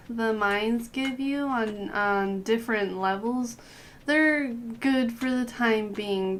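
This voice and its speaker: Hoarse young woman, slow gaming guide. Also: fairly high pitch, slight background noise. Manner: unhurried, clears throat often. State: hoarse from a cold. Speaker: gaming youtuber. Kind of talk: casual game guide voice-over.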